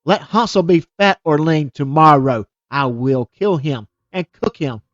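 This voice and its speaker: Man playing a shrill, scheming old witch. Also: a little slow, a bit bright, no echo, highs cut off. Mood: commanding.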